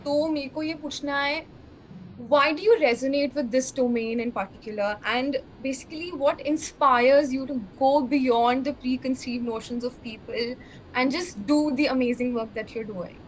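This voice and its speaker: Young woman hosting, high voice. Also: a little fast, slight background noise, rough recording. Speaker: student interviewer. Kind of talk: hosting a college talk show.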